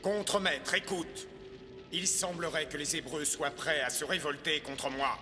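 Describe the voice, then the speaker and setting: Guy doing bossy evil pharaoh for a cartoon, highish forceful voice, music loud behind. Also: steady tone, measured pace, long reverb tail, lightly acted. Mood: menacing, commanding. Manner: imperious, commanding. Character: tyrannical, proud Pharaoh of Egypt, adult man. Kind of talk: animated film dub acting.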